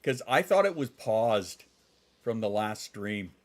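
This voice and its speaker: A man's voice: on the low side, lively intonation, slow pace. A man in his thirties, congested and sniffling, chatting casually on a live stream, rambling, swearing and reacting to chat. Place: home.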